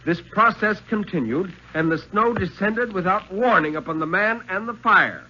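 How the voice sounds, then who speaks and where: A man reading a thriller story aloud in a 1950s dramatic radio style, with suspenseful, measured phrasing and deliberate pauses. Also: sits high, sharp tone, a little hiss or hum. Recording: muffled, lo-fi 1950s radio recording.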